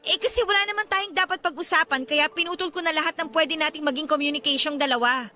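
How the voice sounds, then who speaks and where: A woman's voice: high voice, fast talker, bright, forceful tone, faint music behind. A woman playing a guarded, irritable young woman in a Tagalog radio romance drama, speaking with emotional emphasis. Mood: curt, defensive.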